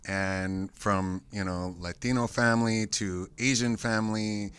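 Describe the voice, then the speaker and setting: A man telling relaxed stories in a casual group chat. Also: on the low side, a little slow, smooth.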